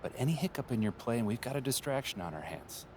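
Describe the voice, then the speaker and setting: An actor voicing a polished, data-obsessed male marketing executive in a video game, speaking in smooth corporate terms. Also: mid-range voice, very animated, quick pace, darkish tone, a little hiss or hum, natural voice. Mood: businesslike. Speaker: head of brand and marketing. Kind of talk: video game cutscene dialogue.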